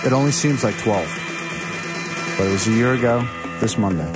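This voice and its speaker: A man's voice: lowish voice, normal speed. A man hosting a radio show in casual music trivia banter, rambling and full of jokes and puns. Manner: joking, with puns and rambling asides. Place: radio studio.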